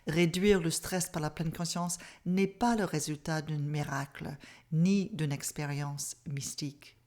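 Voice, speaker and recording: A middle-aged Canadian woman whose first language is English speaks with a noticeable English-speaking accent. Her voice sits low, and she explains in a calm, measured, soft-spoken manner, like a mindfulness teacher guiding a class. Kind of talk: online masterclass presentation.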